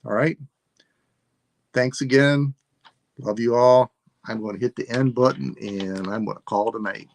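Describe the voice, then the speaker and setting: A man of sixty-five, hosting a live sale and signing off in a relaxed, rambling way while losing his voice. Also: medium pitch, measured pace, gravelly, long pauses, a little hiss or hum. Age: 60s. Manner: relaxed, rambling, self-deprecating. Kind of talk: casual live stream sign-off.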